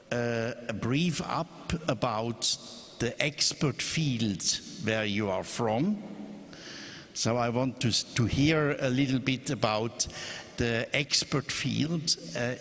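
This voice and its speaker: A man moderating a live conference panel, slow and halting, often repeating his instructions to the speakers. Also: medium pitch, fairly bright tone. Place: conference hall. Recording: long reverb of a large hall.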